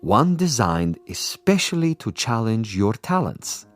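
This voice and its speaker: An actor playing a suave, boastful assassin commissar in a radio drama, with pompous, precise and silkily polite diction. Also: male voice, on the low side, big pitch swings, measured pace, faint music behind. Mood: smug, menacing. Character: suave, boastful male assassin commissar, coolly menacing connoisseur.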